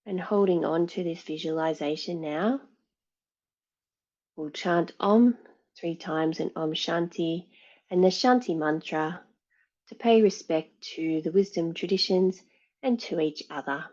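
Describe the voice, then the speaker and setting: A woman teaches yoga in a fairly low voice at a very slow, measured pace. She gives soothing meditation instructions with pauses between phrases. The recording sounds slightly dull and muffled. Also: a little mellow.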